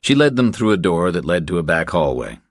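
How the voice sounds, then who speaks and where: Very deep-voiced man narrating a thriller. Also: sing-song, fairly quick, dry recording, clean studio sound. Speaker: audiobook narrator.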